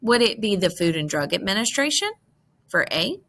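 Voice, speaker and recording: A woman teacher reading multiple-choice test questions aloud, with deliberate, emphatic enunciation of each option. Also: mid-range voice, sing-song, fairly bright tone.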